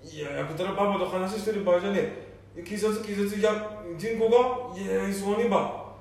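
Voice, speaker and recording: In a very echoey room and off the mic, a man plays a middle-aged son in a radio play. His voice is high, his dialect Nambu, his temper concerned and excitable. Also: fairly quick, creaky, recorded from a distance. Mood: alarmed. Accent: Nambu dialect of northern Japan. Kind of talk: amateur radio drama acting.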